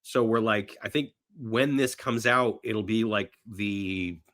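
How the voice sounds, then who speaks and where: A man's voice: on the low side, slow pace, fairly bright tone. Middle-aged man chatting about movies, repeating for emphasis. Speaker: podcast guest, horror film screenwriter and actor. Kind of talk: casual film chat.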